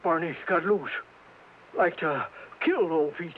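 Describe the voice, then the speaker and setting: An actor playing an older, folksy cowpoke sidekick who stammers and gets flustered, in 1950s radio drama style. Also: male voice, on the high side, a little slow, lightly acted. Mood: frightened. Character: excitable country cowpoke sidekick, old goat. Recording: muffled, noisy 1950s radio recording.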